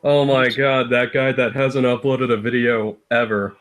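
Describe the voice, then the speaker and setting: A man's voice: mid-range voice, little pitch movement, unhurried, a bit bright. A man co-hosting a live stream in casual chat, chiming in with short, jokey reactions.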